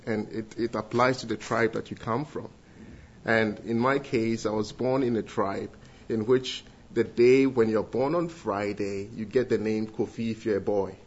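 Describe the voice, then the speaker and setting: A middle-aged man from Ghana with a Ghanaian accent, giving an earnest church testimony and leading prayer, with pauses and restarts. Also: mid-range voice, husky, dull audio. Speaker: guest speaker giving testimony.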